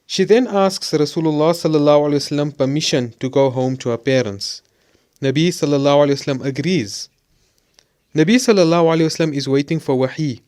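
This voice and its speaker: Actor as earnest young male student, fairly high voice, caring, radio drama. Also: quick pace, darkish tone, lightly acted. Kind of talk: scripted audio drama acting.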